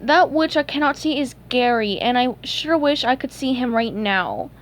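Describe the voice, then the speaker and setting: A woman voicing an excitable, naive cartoon sea sponge boy in an eager, exclamatory storybook reading. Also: high voice, normal speed. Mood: anxious.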